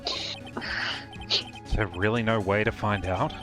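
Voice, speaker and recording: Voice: mid-range voice, steady tone, slow pace, close to an everyday voice. A performer voicing a flustered, questioning young male defense lawyer with a hesitant manner in a live game fan dub. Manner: hesitant, incredulous. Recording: music behind the voice.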